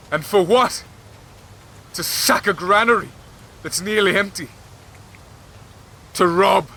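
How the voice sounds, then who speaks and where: An actor playing a grieving, exhausted, merciless king in a fantasy audio drama, speaking formally and with deliberate command. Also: sits high, sharp tone, slight background noise, exaggerated voice.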